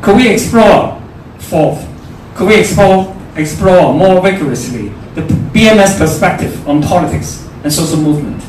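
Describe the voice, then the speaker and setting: A man's voice: high-pitched, lively intonation, moderate pace, few pauses, darkish tone. A man with a Hong Kong accent giving a prepared, formal speech at a forum. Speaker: forum speaker. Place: large echoey indoor room at a forum. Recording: very echoey room, distant mic, faint noise.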